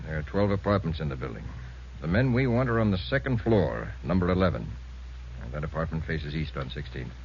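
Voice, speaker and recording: A deep-voiced, commanding police captain. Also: male voice, animated. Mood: methodical. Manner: commanding, measured briefing. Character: commanding male police captain, grim and methodical. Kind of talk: 1950s radio drama acting. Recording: dull lo-fi old radio broadcast with faint noise.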